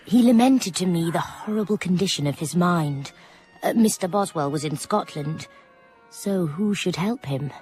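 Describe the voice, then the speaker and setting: A woman's voice: on the low side, lively intonation, normal speed, a little mellow, soft background music, close to an everyday voice. An actress playing a witty, genteel 18th-century hostess and mother, speaking with a refined, wry manner. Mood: weary. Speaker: actress in radio drama. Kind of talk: BBC radio drama acting.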